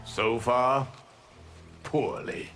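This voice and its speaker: A man playing a calm, wise, admonishing god in a fighting game, with a solemn, sage-like manner. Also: medium pitch, very slow. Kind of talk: fighting game dialogue acting.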